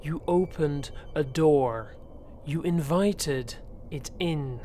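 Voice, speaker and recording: A man's voice: a little high, extremely slow, warm tone, a little hiss or hum. A male actor playing a grave, frightened man in a horror audio drama, earnest and insistent. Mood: grave accusation. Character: grave, frightened man warning of demonic possession.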